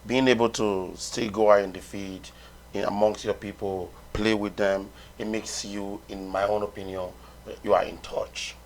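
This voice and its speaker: Low-pitched man hosting an interview. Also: flat delivery, moderate pace, a little hiss or hum. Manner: informal, admiring, prompting. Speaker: interview host. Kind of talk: conversational TV interview. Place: TV studio, seated.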